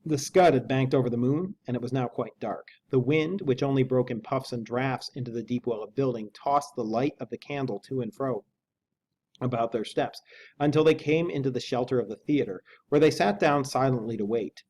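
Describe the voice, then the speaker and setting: Mellow-voiced man teaching literature. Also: mid-range voice, normal speed, slightly rough. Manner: casual, conversational explaining. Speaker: English teacher. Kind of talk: reading a novel aloud with informal analysis.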